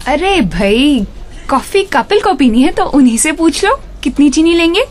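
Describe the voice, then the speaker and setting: A woman playing a frank, easygoing wife in a radio drama, talking casually and gently correcting her friend. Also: sits high, lively intonation, normal speed, soft background music. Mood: casual, teasing.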